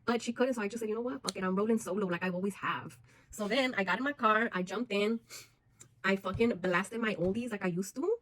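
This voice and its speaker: Fast-talking blunt woman in her forties. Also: mid-range voice, a bit bright, no room sound, low-quality recording. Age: 40s. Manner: blunt, frank, swears often, keeps addressing viewers. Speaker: vlogger. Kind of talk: candid personal vlog.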